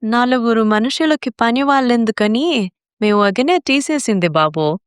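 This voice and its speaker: A woman playing a sly meddling mother, clear voice. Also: fairly high pitch, lively intonation, unhurried, dry recording, studio quality. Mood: feigned grievance. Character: sly, meddling middle-aged mother of the scheming wife. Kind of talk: moral story audio drama acting.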